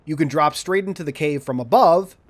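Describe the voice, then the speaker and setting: A man reading a scripted video game walkthrough in a measured, orderly, instructional way. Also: fairly high pitch. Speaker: video game guide narrator. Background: background music.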